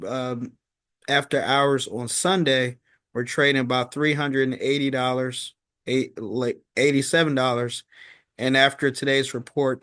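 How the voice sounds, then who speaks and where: A man hosting an informal stock market recap, talking in a halting, repetitive way and often checking in with "okay?". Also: medium pitch, fairly bright tone, no echo, clean studio sound.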